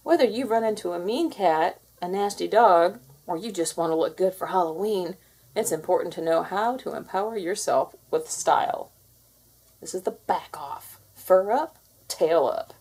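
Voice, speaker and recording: A woman's voice: on the low side, normal speed, darkish tone, breathy edge. A woman voicing a confident, bossy alpha cat who lectures kittens, in a theatrical storybook read-aloud style. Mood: instructive.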